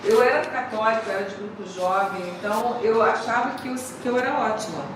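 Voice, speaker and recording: A woman's voice: fairly high pitch, a little fast. A Brazilian woman sharing her personal faith story in a church class, hesitant and repeating words. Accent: Brazilian Portuguese. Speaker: class participant. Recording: echoey room, distant mic, background noise.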